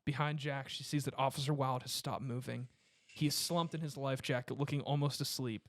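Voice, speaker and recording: A man's voice: mid-range voice, fairly even intonation, fairly quick. A man hosting and narrating a comedy table read, moving between casual directions and scripted narration. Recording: background noise, occasional clicks.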